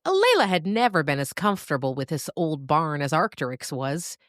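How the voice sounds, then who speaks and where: A woman narrating a scripted story expressively, with dramatic pauses. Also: sits low, very animated, fairly bright tone, dry recording, studio quality.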